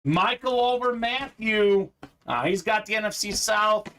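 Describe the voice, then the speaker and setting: Chatty high-voiced male break host. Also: a little slow, fairly bright tone. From Bulgaria. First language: Bulgarian. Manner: chatty, reads out viewer comments. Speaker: live card break host. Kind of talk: casual live-stream chat.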